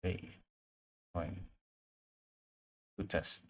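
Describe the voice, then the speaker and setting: A young man from Indonesia, chatting casually on a livestream while he works, often mumbling to himself and trailing off. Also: extremely slow. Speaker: streamer.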